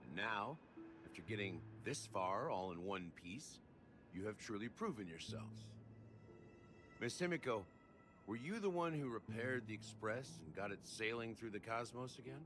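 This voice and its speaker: Man acting a low, solemn older gentleman. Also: expressive, over music, natural voice. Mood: formal, gracious. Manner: solemn, measured, formal. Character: dignified older man honouring a late friend. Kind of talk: scripted video game voice acting.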